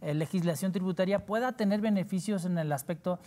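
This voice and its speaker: Low, mellow-voiced Mexican woman in discussion. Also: brisk. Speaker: talk show panelist on tax matters. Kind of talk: explanatory talk show discussion.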